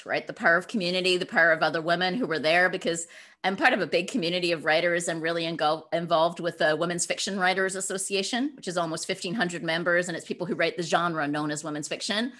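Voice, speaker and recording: A middle-aged woman giving conversational interview answers as a guest author, animated and given to acting out quotes. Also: fairly low pitch, quick pace. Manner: animated, acts out imagined quotes.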